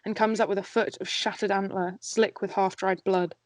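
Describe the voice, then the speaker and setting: A woman reads scripted stage directions as the narrator of an amateur table read. Her pitch is middling and the sound is dry and close. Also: steady tone, moderate pace, dry recording.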